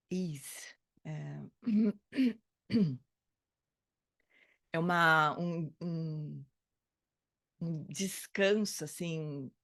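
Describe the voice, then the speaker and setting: Woman teacher, casual dharma talk, pauses to find words, dry close sound. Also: fairly low pitch, no echo. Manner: hesitant, searching for words, frequent "né?". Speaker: dharma study group teacher.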